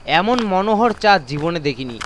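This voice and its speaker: A man with a high voice narrates a first-person horror tale as a dramatised reading. Clear outdoor ambience with birds runs behind his words. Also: very animated, normal speed, background noise. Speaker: story narrator. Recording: constant nature ambience effects behind voice.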